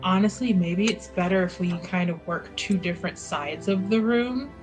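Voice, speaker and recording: A woman playing in a tabletop game, chatty and giggly, thinking her plans out loud. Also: fairly low pitch, normal speed, over music. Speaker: player. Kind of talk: tabletop roleplay chat.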